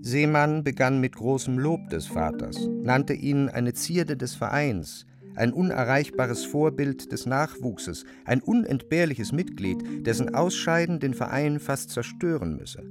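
A man narrating a literary radio play in a dramatic storytelling style. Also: mid-range voice.

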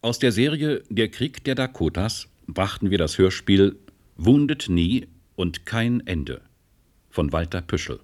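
A man's voice: low-pitched, sing-song, takes it slowly, a little mellow. A man working as a radio announcer, reading programme credits in a formal, scripted style.

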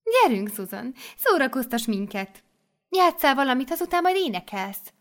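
A woman putting on a gushing high-voiced mother superior. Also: big pitch swings, a bit bright. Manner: gushing, effusive, commanding. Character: doting excitable older female mother superior.

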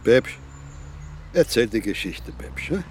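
A man's voice: mid-range voice, expressive, slow pace, slight background noise. An actor playing a curious, coaxing village man in a radio drama, insistently prodding in an Austrian accent. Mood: nosy prodding. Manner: nosy, insistent.

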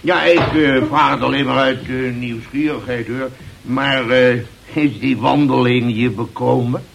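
An actor in an old radio drama playing a grumbling, blustering older boss, gruff but fair, who hesitates and interrupts. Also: male voice, medium pitch, steady tone, unhurried, a little hiss or hum. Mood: awkward, conciliatory. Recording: old radio play recording.

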